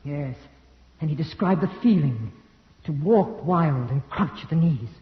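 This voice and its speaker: A man's voice: fairly high pitch, lively intonation, a little slow, breathy edge, exaggerated voice. An actor playing a resolute rifleman in a 1940s radio drama, speaking in an earnest, clipped, theatrical way. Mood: sober, reflective. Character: resolute male rifleman, terse hunting companion. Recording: dull vintage radio recording.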